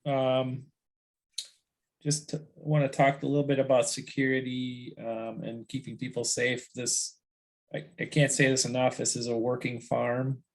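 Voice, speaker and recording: A man speaking informally at a volunteer meeting in an earnest, halting way. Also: medium pitch, level tone.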